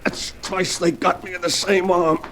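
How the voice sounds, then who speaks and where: A man's voice: high voice, little pitch movement, normal speed, crowd noise. An actor playing a ruthless, hunted male crook in a 1940s radio drama, talking in a hard-boiled gangster way.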